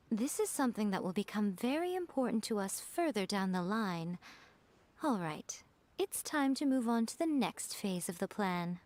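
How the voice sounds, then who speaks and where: A woman's voice: medium pitch, big pitch swings, a little slow, a little mellow. A woman voicing a poised, sly, confident female schemer in a video game, composed and teasing. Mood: knowing, amused.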